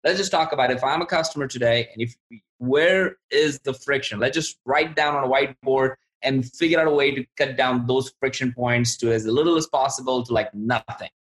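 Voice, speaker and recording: A man's voice: mid-range voice, a little fast, hardly any pauses, fairly bright tone. A man hosting an interview podcast, enthusiastically affirming his guest and summarizing takeaways. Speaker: podcast host.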